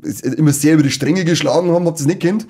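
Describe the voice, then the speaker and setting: A man's voice: a little high, rapid-fire, warm tone, breathy edge. A young man with a Bavarian accent bantering casually with friends as a podcast co-host, crude and joking. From Bavaria, Germany.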